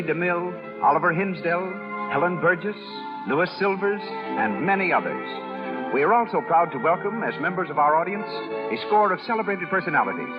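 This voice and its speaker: An American man announcing on 1930s network radio in a formal, emphatic style. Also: a little high. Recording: dull 1930s radio, loud music. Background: loud music.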